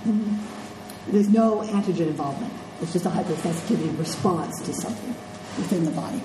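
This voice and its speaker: A woman's voice: lowish voice, fairly quick, noisy background. Congested woman lecturing in an echoey classroom. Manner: conversational, checks in with "okay?" and "right?". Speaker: nursing instructor. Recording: echoey classroom with faint ambience.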